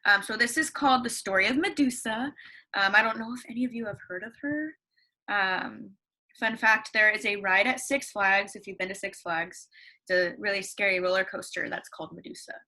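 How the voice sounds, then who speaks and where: A woman's voice: medium pitch, moderate pace, bright, forceful tone, rough recording. A woman teacher reading a story aloud to her class, chatty, with lots of fillers and questions to the children.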